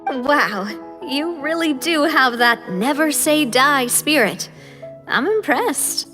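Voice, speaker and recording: A woman's voice: high-pitched, animated, slow, over music. An actress playing a plucky young female community leader in a video game, friendly, casual and a little teasing. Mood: friendly, amused.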